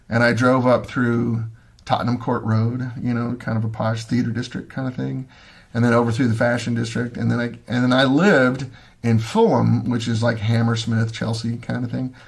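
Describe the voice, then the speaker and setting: A middle-aged man chats at home, answering viewers as he goes. His voice is gravelly and a little husky. He rambles, restarts sentences and fills the gaps with ums. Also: mid-range voice, little pitch movement, moderate pace. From Missouri, USA. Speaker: livestream host. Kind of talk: casual chat, answering viewer comments.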